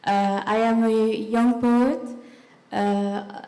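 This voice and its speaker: A young woman in her twenties from Rwanda, speaking from the audience at a live festival panel, halting and full of fillers and short laughs. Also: on the high side, flat delivery, mellow. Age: 20s. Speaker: audience member asking a question. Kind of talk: hesitant spoken remarks at a live festival panel. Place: festival event hall. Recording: echoey hall with long reverb.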